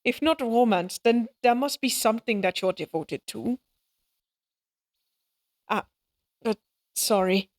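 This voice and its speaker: Brief: actress as lonely, sincere woman in audio drama; higher voice, very slow, hesitant delivery, wistful and fond. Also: no room sound, clean studio sound. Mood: wistful, earnest. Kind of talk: dramatic audio roleplay acting.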